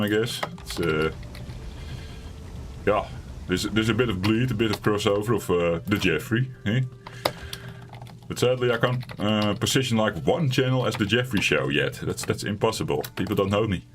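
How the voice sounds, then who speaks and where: A man with a raspy voice streaming a survival game from home, chatting casually and rambling in a self-deprecating way. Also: fairly low pitch, expressive, normal speed, noisy background. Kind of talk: casual let's-play commentary.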